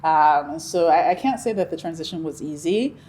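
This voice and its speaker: A middle-aged woman from Nigeria, a Yoruba speaker, talking about her life in a relaxed, reflective interview, with frequent ums and restarts. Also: low voice, moderate pace, a little hiss or hum. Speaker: interview guest. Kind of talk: conversational interview.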